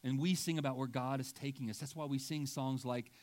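A man's voice: mid-range voice, fairly even intonation, brisk, a little mellow. Man, middle-aged, pastor, sermon, conversational, self-deprecating, stammering restarts, church sanctuary. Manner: conversational, self-deprecating, frequent "right?" asides; stammers and restarts when digressing.

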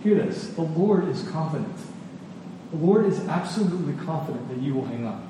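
A man preaching a sermon in an earnest, emphatic way, repeating phrases deliberately for weight. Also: a little high, lively intonation. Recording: echoey hall, distant, faint noise.

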